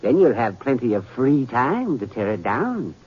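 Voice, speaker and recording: An actor playing a miserly, crotchety old western rancher, folksy and blustering, in old-time radio drama style. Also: male voice, mid-range voice, very animated, moderate pace, breathy edge. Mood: stern, callous. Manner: blustering, folksy, stammering when flustered. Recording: dull, noisy vintage radio recording.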